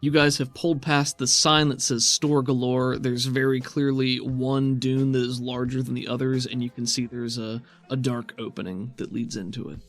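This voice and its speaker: A man's voice: medium pitch, little pitch movement, normal speed, few pauses, faint music behind. A man running a tabletop game as game master, narrating scenes descriptively and chatting casually with players. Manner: conversational, descriptive.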